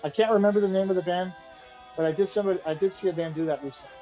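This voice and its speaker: A man, the band's singer and guitarist, answering interview questions in a relaxed, rambling way and often repeating himself. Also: high voice, rapid-fire, highs cut off, soft background music. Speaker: band vocalist and guitarist being interviewed.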